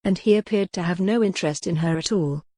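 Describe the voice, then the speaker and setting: Woman reading a historical romance audiobook, lowish voice, very dry recording. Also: fast talker, warm tone, dry recording. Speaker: audiobook narrator. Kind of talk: scripted narration of a historical romance novel.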